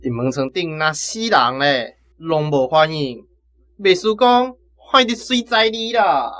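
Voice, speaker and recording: A man's voice: a little high, lively intonation, a little slow, a bit bright. A man with a Taiwanese accent playing a coarse, scornful soldier in a radio drama. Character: coarse, scornful male army man, foul-mouthed.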